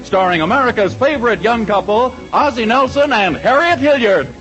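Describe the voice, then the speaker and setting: A man's voice: extremely high pitch, lively intonation, normal speed. A man announcing and narrating a scripted show in 1940s radio style. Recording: dull, noisy old radio recording. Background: music.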